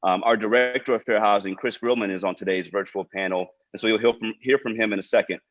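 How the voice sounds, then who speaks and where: A man's voice: lowish voice, steady tone, fast. A man speaking as a nonprofit director, giving informal prepared remarks to an online panel over a video call. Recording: dull, lo-fi video call audio.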